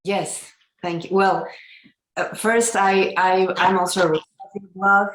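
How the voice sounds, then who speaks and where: Halting woman. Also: mid-range voice, steady tone, roomy sound, rough recording. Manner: searching for words, halting. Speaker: therapist asking a question. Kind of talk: audience question on a video call.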